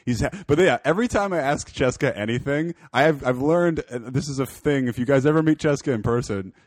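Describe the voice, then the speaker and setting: A young man co-hosting a podcast, his voice hoarse, rambling and joking with many laughs. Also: mid-range voice, animated, brisk, no room sound, clean studio sound. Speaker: podcast co-host. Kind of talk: casual podcast chat.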